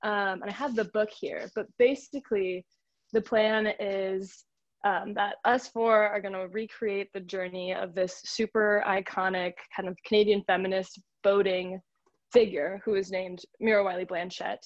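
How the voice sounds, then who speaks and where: A young American woman in her early twenties explains things casually as a guest. Her pitch is average, and the recording is dry and slightly muffled. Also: steady tone, normal speed, dry recording. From Seattle, United States. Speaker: interview guest. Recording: dull, dry lo-fi audio.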